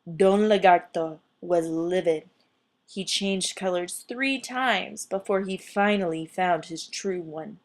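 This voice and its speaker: A woman reading a children's storybook aloud as an expressive storyteller. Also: fairly low pitch, takes it slowly.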